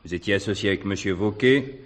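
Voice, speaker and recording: An actor playing a shrewd, calm police commissioner, measured and insistent, in a French stage drama. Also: male voice, fairly low pitch, close to an everyday voice. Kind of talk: French stage-play dialogue. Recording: faint background noise, 1970s production.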